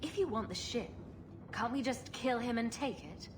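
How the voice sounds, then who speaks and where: Game heroine female actor: sarcastic rogue, fairly high and very animated, noisy ambience behind her. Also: moderate pace, lightly acted. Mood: challenging. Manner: wry, sarcastic. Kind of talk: video game voice acting.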